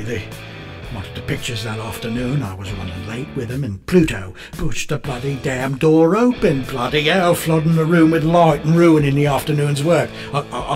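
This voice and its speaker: A man's voice: medium pitch, natural voice. A bitter British husband played by a male actor tells his story in a radio play. He is sardonic and blustering, a foul-mouthed failed photographer in a middling register. Music runs behind his voice. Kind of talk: dramatic first-person narration and dialogue.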